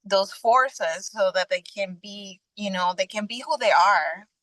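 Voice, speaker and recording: A young adult woman from Venezuela, a guest sharing her personal faith testimony in an earnest, halting way with frequent restarts. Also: medium pitch, a bit bright, no echo, poor audio.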